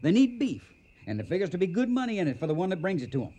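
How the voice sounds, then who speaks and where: Firm, folksy trail boss, higher-pitched Western drawl with animated swings, radio drama. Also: male voice, racing, breathy edge, full character voice. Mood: persuasive. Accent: American Western drawl. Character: determined, plain-spoken Texas cattle boss.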